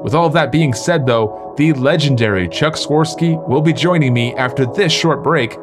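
A man's voice: on the high side, moderate pace, over music. A man hosting a sports podcast in a semi-scripted, presenting style.

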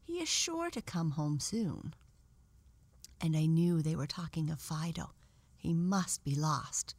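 A woman's voice: sits low, lively intonation, slow pace, darkish tone, husky, dry recording, exaggerated voice. An earnest rag doll as a woman narrator puts her on, tender-hearted and thoughtful. She talks with old-fashioned politeness, like the kindly leader of the toys in a children's storybook. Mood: worried, sad.

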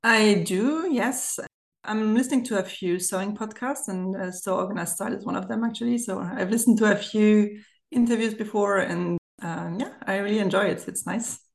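A woman's voice: mid-range voice, moderate pace, creaky. A woman in her thirties from Germany with a German accent, chatting casually as a podcast guest. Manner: chatty, giggles often as she talks. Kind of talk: casual chat. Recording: roomy, echoey remote recording.

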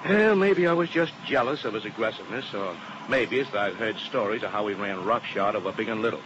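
An actor playing an earnest, uneasy insurance agent in a 1950s radio drama, heard on a muffled, hissy old broadcast. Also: male voice, lowish voice, lively intonation, fairly quick, close to an everyday voice. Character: worried male insurance agent, earnest partner.

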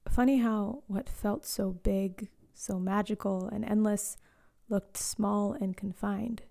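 A woman in her late twenties reading a reflective personal story in a slow, lyrical way, sometimes dropping to a hush. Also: medium pitch, gravelly. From born in Eswatini to Zambian parents, raised in South Africa, Australia and Canada. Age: late 20s. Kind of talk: scripted personal narration.

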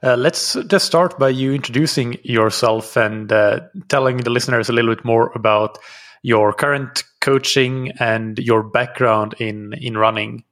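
A man's voice: medium pitch, fairly even intonation, a little slow, a bit bright. A man hosting an interview podcast, speaking in a conversational way as he introduces things and asks questions.

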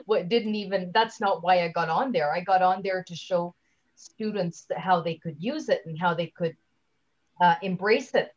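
Low-voiced woman in a lo-fi interview. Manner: chatty, halting, often leaves sentences unfinished. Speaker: guest, LinkedIn trainer and speaker. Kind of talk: conversational interview.

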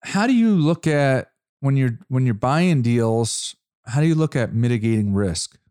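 A man's voice: mid-range voice, animated, a little mellow, clean tone. A man hosting an interview, stopping and restarting as he frames his questions and affirms the guest's points. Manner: halting, restarts often, affirming. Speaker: podcast host. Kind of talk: casual interview.

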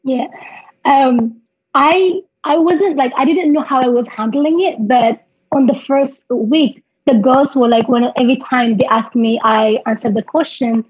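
A young woman from Ethiopia with an Ethiopian accent, a podcast guest telling her life story in halting English, repeating words and laughing through hard memories. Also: high voice, breathy edge, no room sound, muffled recording.

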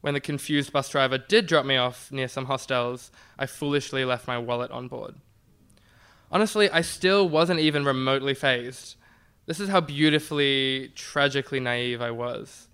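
A man's voice: mid-range voice, normal speed, fairly bright tone, clean tone. A man performing a scripted comic personal story, wry and self-deprecating.

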